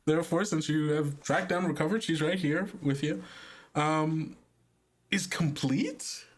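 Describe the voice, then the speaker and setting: Halting man running a tabletop game. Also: on the high side. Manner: halting, thinking aloud. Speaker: game master and podcast host. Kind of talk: improvised tabletop game narration.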